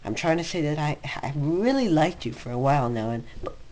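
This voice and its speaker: A man's voice: fairly high pitch, big pitch swings, a little fast, darkish tone, pitched above the performer's own voice. A man voicing a nervous, lovestruck young man who stammers hesitantly through a confession in a fan fiction reading. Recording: noisy home recording.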